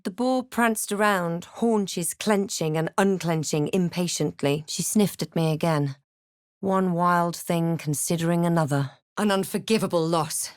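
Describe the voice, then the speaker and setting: A woman playing a wry, brooding adventurer heroine in a fantasy video game, with a measured, dramatic delivery. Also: lowish voice, dry recording, clean studio sound, lightly acted. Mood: tense narration. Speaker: video game player-character voice actor. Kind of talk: scripted game narration and barks.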